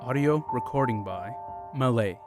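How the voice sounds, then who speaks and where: A man reading the credits in a measured, deliberate way. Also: mid-range voice. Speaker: credits announcer. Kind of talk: slow scripted credits reading. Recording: loud music under the voice.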